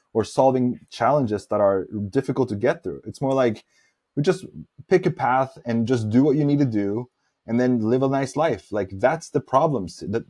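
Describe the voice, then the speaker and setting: A man's voice: mid-range voice, darkish tone. A man who grew up in Norway, talking reflectively and giving his opinions as host of a casual interview.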